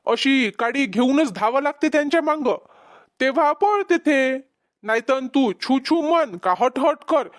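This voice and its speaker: A woman's voice: sits high, expressive, measured pace. A woman voicing a concerned, reasoning village farmer husband in a cartoon comedy, with a Vidarbha Marathi accent. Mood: gently chiding. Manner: placating, explaining, gently chiding.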